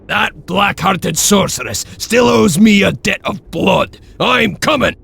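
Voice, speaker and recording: A male actor playing a rough, excitable, loyal wolf-clan warrior in a video game, with a raspy, theatrical voice. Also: sits high, slow pace, full character voice. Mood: fierce, loyal. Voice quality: raspy, gravelly. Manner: boisterous, theatrical. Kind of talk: dramatic video game acting.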